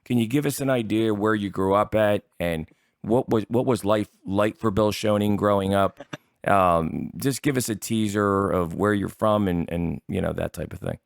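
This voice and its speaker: An American man with a fairly low voice, recorded dry and close. He hosts a casual interview and wanders his way through wordy questions. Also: moderate pace, creaky, dry recording. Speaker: podcast host, interviewer.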